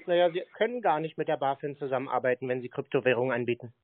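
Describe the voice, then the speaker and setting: A man's voice: mid-range voice, rapid-fire, no echo. A man in an argumentative phone call, blunt, insulting and insistent with the person he is confronting. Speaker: prankster confronting phone scammers. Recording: tinny phone line with faint noise.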